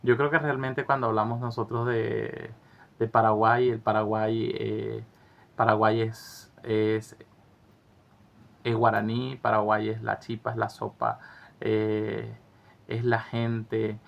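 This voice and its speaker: Man, co-host, gravelly and monotone, earnest, dull lo-fi recording. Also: lowish voice, takes it slowly. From Venezuela. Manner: earnest, reflective, some hesitant restarts. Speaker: co-host of travel channel. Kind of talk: casual conversational commentary.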